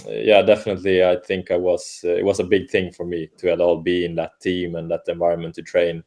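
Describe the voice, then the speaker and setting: A man's voice: deep voice, flat delivery, a little fast, a little mellow. A man from Finland whose first language is Swedish, a football coach answering interview questions in a hesitant way, with many fillers and restarts. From Åland, Finland. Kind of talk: casual interview conversation.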